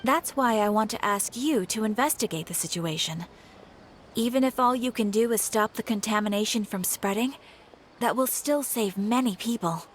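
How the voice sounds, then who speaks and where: Woman doing a polite formal receptionist, kinda high, measured. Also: a little hiss or hum, close to an everyday voice. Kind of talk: game cutscene voice acting.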